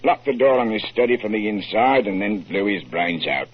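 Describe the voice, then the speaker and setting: An actor in a 1940s radio drama playing a genial, deferential London police inspector. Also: male voice, fairly low pitch, lively intonation, normal speed, husky. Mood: matter-of-fact. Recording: muffled lo-fi 1940s radio recording.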